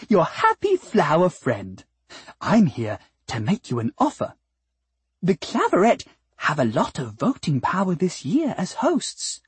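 A woman voicing a female alien rose bush in an audiobook, with a breathy, sweet voice and a polite, wheedling, nervous manner. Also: fairly low pitch, no room sound, voice pushed up, heavily acted.

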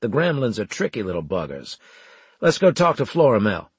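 A man's voice: on the low side, sing-song, brisk, slightly rough, no room sound, exaggerated voice. Man playing a brash, folksy joking soldier. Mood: casual. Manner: laid-back, teasing, colloquial.

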